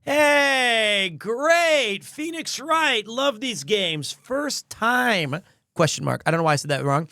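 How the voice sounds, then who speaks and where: A man's voice: high voice, sing-song, a little slow, creaky, sharp tone, dry recording, studio quality. A man chatting casually on a game livestream in a self-deprecating, informal way. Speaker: game streamer.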